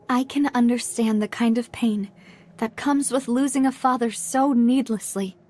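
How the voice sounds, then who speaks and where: A woman's voice: on the high side, takes it slowly, a little mellow. A woman voicing an earnest, guilt-ridden young woman in a video game, speaking in a heartfelt, formal way. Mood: guilty, sorrowful.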